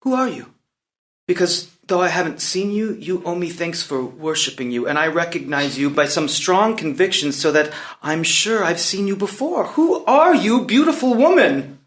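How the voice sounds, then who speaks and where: A man's voice: a little high, expressive, moderate pace, a bit bright. A man playing a proud, impetuous young prince in a dramatic play reading, declaiming emphatically and floridly. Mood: enraptured, wooing.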